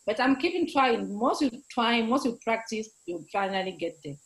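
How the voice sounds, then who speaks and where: A woman's voice: on the high side, expressive, moderate pace. A middle-aged woman whose first language is French, giving an emphatic motivational talk on a video call from a room at home. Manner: emphatic, gestures a lot, exhorting. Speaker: guest speaker, entrepreneur. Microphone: video call webcam.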